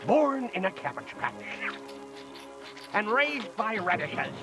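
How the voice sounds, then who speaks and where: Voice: mid-range voice, sing-song, slow pace. An actor playing a pompous, theatrical, self-crowned male vegetable king in a cartoon, with grandiose delivery. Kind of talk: exaggerated cartoon villain voice acting. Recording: music behind the voice.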